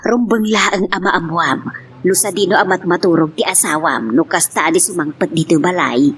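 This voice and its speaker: A woman acting a concerned mother of a grown daughter in an amateur drama, with a husky voice and Ilocano Filipino accent. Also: lowish voice, normal speed, fairly bright tone, slight background noise, close to an everyday voice. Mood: concerned, gently probing.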